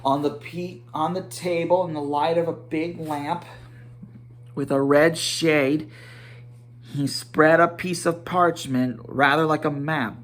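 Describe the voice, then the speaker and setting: A man's voice: on the high side, steady tone, takes it slowly, a bit bright. A man reading a storybook aloud as dramatic narration. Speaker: amateur audiobook reader.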